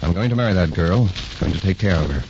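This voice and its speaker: A very low-voiced young American doctor, earnest and intense. He talks quickly, in the manner of 1940s radio drama. The old recording is muffled, with clear noise over it. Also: lively intonation. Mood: distraught, resolute. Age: young adult. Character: earnest young male doctor torn between career and love.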